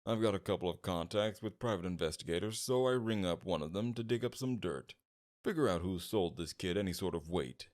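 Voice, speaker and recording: A man playing a smug, flirtatious and menacing mob boss in an ASMR roleplay, with a suave, teasing delivery and a gravelly voice. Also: low voice, a little mellow, natural voice. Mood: businesslike, guarded. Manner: teasing, suave, drawn-out flirtation with veiled threats. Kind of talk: ASMR roleplay, scripted character acting.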